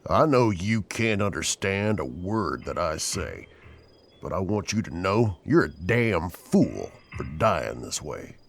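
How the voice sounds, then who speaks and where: An actor playing a ruthless, bitter outlaw leader in a horror western audio drama, threatening and cold. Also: male voice, deep voice, sing-song, slow, vocal fry, heavily acted. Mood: bitter menace. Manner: threatening, cold, folksy cowboy speech.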